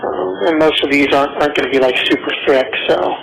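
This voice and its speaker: A man chats casually on a recorded jail call. His voice sits a little high with a creaky, gravelly edge, and his phrasing is halting and full of ums, over faint noise. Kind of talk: casual phone conversation.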